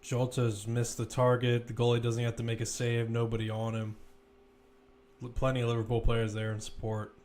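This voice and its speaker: A man giving live play-by-play football commentary as a commentator, measured but lifting when attacks build. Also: medium pitch, completely flat delivery, darkish tone.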